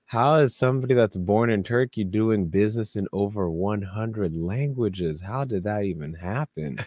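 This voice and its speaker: A man's voice: fairly low pitch, moderate pace, darkish tone, no room sound, clean studio sound. A man co-hosting a podcast, interviewing a guest in an upbeat, encouraging way. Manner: upbeat, encouraging, frequent backchannel. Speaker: podcast co-host.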